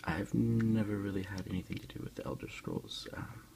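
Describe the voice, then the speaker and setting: A man softly whispering in a breathy voice as he casually hosts an ASMR unboxing, unhurried and a little hesitant, recorded on a phone. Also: lowish voice, animated, a little hiss or hum. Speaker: ASMR video host.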